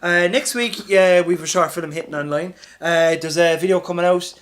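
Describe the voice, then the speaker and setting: A man's voice: high-pitched, moderate pace, a bit bright, slight background noise. An Irish man co-hosting a comedy podcast, bantering casually in an exasperated, sweary grumble. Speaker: podcast co-host.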